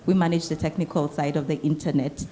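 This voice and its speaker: Low-voiced woman lawyer on a panel. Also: fairly even intonation, quick pace, warm tone, slight background noise. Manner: dry humor, explanatory. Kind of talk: panel introduction.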